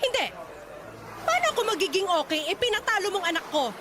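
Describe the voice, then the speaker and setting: Radio-drama stage mother with a Filipino accent: high and lively, swinging all over as she pushes and scolds. She is domineering and won't take no for an answer, with faint room noise behind. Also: quick pace. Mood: angry. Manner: bossy and insistent, scolding. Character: pushy, domineering middle-aged stage mother. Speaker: voice actor.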